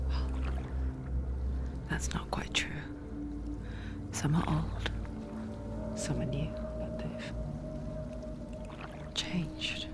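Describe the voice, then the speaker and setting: An actress playing an intimate, eerie narrator inside a warrior's head, confiding deliberately in the listener in a dramatic game. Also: female voice, low voice. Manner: confiding, slow and deliberate, addressing the listener directly.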